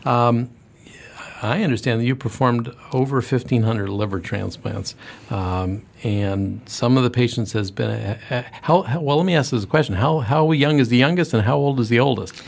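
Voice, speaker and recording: Gravelly male radio interviewer. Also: medium pitch, moderate pace. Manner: conversational, rephrases questions mid-sentence. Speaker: radio show host and interviewer.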